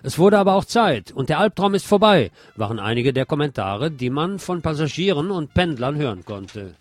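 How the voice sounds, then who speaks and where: A man's voice: fairly high pitch, big pitch swings, moderate pace. A man presenting radio news from a script, with colloquial commentary mixed in, over music. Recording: music behind the voice.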